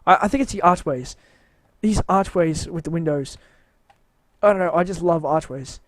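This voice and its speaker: High-voiced tired man rambling. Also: normal speed, no room sound. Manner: rambling, hesitant, self-mocking. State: tired, voice wearing out. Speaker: builder showing his game build. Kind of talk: casual rambling walkthrough commentary.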